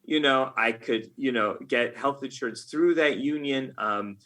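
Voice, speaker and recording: An American man chatting as an interview guest in a casual, halting way, thinking aloud. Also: medium pitch, fairly bright tone. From United States. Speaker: podcast guest, game writer.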